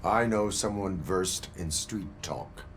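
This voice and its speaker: An actor playing a stoic cyborg police officer in a video game, speaking in a terse, formal deadpan. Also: male voice, slight background noise. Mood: deadpan, resolute. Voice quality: low, measured. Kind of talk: video game cutscene acting.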